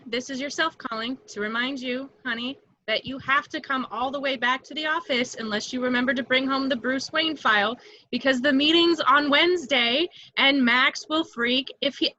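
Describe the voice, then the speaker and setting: A woman's voice: high voice, sharp tone. A woman playing a lonely, self-mocking young secretary with sardonic delivery in an amateur read. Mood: chiding. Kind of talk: amateur table read acting. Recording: echoey, muffled home microphone.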